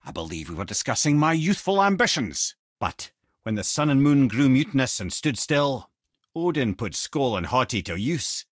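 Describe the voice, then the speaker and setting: An actor playing a wry old sage in a video game, an eloquent, theatrical storyteller with a Scottish accent. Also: male voice, mid-range voice, big pitch swings, normal speed, no echo, clean studio sound. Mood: wry storytelling.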